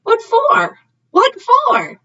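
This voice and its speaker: A woman's voice: extremely high pitch, wildly swooping pitch, extremely slow, bright, forceful tone, recorded from a distance, highs cut off, voice pushed up, heavily acted. A woman voicing a curious, cheerful and insistent little boy from a storybook, with sing-song questioning.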